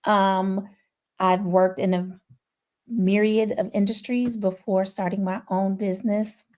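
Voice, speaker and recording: Fifties woman marketer, chatty and candid, cracks jokes at herself, mid pitch. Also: fairly even intonation, unhurried, a little mellow. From Chicago, Illinois. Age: 50s. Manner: candid, self-deprecating humor. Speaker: marketing consultant guest. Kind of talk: casual panel chat.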